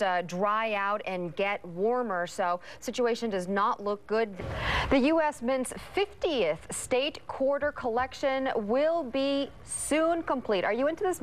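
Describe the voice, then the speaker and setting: Female TV news anchor, American accent, medium pitch, scripted morning broadcast delivery. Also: slight background noise. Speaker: TV news anchor presenting news, weather and sports.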